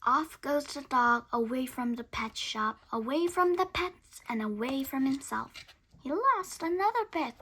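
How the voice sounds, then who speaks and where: A woman with a high, bright voice reads a picture book aloud for children. She takes her time over the phrases and performs them dramatically, dropping into hushed whispers and rising into emphatic bursts. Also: expressive, unhurried. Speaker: storyteller reading aloud.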